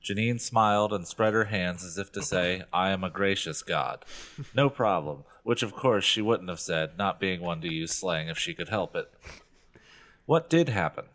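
A man's voice: sits low, expressive, moderate pace, fairly bright tone. A man on a podcast reading a novel aloud, playfully breaking off for casual asides. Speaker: podcast co-host.

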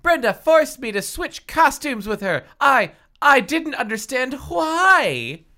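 Woman playing panicked teen girl, thriller storybook, high shrieky pitch, forceful, wailing, melodramatic. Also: sing-song, takes it slowly, heavily acted.

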